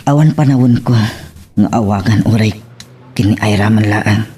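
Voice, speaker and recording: A man's voice: lowish voice, normal speed, a little mellow, a little hiss or hum, natural voice. Man acting a brooding, burdened Filipino husband. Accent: Ilocano Filipino. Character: brooding working husband abroad, burdened by guilt. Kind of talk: Ilocano radio-style drama acting.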